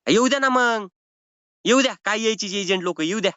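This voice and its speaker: A man's voice: high-pitched, brisk, bright, forceful tone, smooth, dry recording. A man playing a cheeky, evasive rural Maharashtrian borrower with a whiny, argumentative way of stalling in a comic phone call. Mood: defiant. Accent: rural Maharashtrian Marathi. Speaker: prank caller playing a loan defaulter. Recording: slightly dull phone-call audio.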